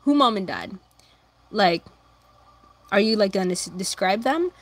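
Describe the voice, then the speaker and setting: A young woman chatting casually with her viewers while drawing, answering chat and humming along, her voice slightly hoarse. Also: medium pitch, expressive, measured pace. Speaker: livestreamer drawing anime fan art.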